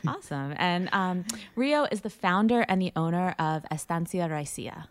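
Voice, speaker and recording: A woman hosting a podcast interview, asking friendly, measured questions. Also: fairly low pitch, lively intonation, no room sound. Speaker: podcast host.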